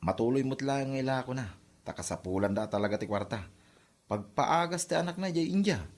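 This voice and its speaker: A man's voice: medium pitch, animated, fairly quick, close to an everyday voice. A man acts a practical Ilocano husband in a conversational drama. His mid-range voice moves animatedly up and down as he chats agreeably. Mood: earnest, chatty.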